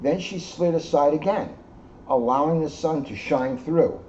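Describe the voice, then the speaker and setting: Male storybook reader, police officer, relaxed warm timbre, lo-fi recording. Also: a little high, measured pace. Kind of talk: children's storybook read-aloud with casual asides. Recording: dull lo-fi sound, faint background noise.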